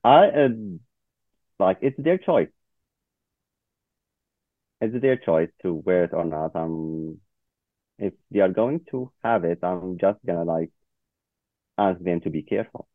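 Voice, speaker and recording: A man on a panel discussion, speaking hesitantly and in a measured way. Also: low-pitched, expressive, dry recording, phone-quality sound.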